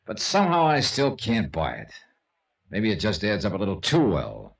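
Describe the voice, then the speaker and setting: A shrewd, sardonic investigator from an old radio crime drama. His low voice moves widely in pitch as he probes with clipped, wry questions. It sounds like a vintage broadcast recording. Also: huge pitch swings, normal speed, creaky, heavily acted. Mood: probing, skeptical. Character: shrewd, wry male insurance investigator, hard-boiled sleuth. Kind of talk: 1950s radio detective drama acting.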